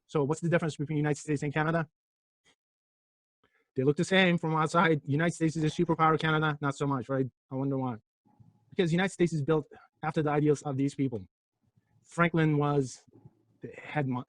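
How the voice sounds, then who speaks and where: Fast-talking, joking man from Turkey. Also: on the high side, no echo, poor audio. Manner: joking, provocative, rhetorical questions. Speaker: physics lecturer. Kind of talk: classroom lecture with questions to students. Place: classroom.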